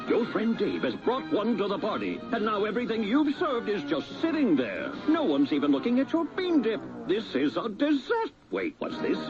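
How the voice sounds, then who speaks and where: An actor playing an excitable mock sports commentator in a comedic, mock-dramatic radio commercial. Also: male voice, high voice. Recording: dull radio ad, music behind.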